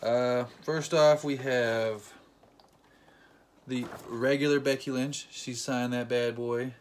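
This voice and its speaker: A man's voice: mid-range voice, slow pace. Jokey man telling a fan story. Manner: playful, jokey, animated retelling with acted quotes. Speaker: vlogger. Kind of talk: casual storytelling vlog.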